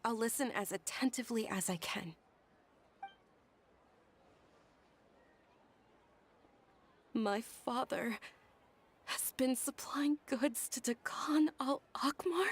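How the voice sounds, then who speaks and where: A woman playing a gentle, well-bred young noblewoman in a video game, speaking in a composed, articulate way. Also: on the high side, unhurried, husky. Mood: anxious, composed.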